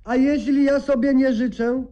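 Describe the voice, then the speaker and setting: A man's voice: very high voice, fairly even intonation, moderate pace, warm tone, muffled recording. An actor playing a meek, plaintive male patient with quaintly formal speech in a satirical radio sketch. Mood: bewildered.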